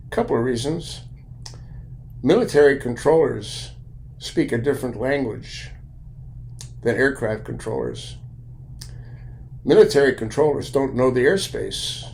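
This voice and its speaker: An older man with an American accent giving a blunt, opinionated monologue in deliberate, broken-up phrases, with a gravelly voice. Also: medium pitch, darkish tone. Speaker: video commentator and private pilot. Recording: light background noise.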